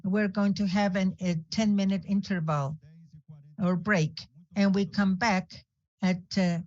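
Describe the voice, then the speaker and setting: Slow, halting woman moderating a panel. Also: medium pitch, fairly even intonation, a little mellow, dull audio. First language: Portuguese. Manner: halting, non-native phrasing. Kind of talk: conference moderation.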